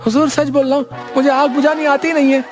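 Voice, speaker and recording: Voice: high-pitched, little pitch movement, fast talker, darkish tone, soft background music, lightly acted. A performer voicing a cheeky young male servant in a cartoon story, exaggeratedly deferential and literal-minded. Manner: exaggeratedly deferential, singsong obedience. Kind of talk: Hindi cartoon story dialogue.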